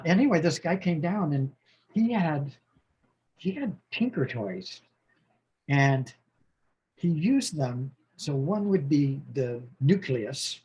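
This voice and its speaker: A man's voice: medium pitch, breathy edge, poor audio. A man in his sixties, a podcast guest from the United States, telling long rambling stories about his schooling in a casual chat. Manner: rambling, warm storytelling with laughs and restarts. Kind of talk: casual interview conversation.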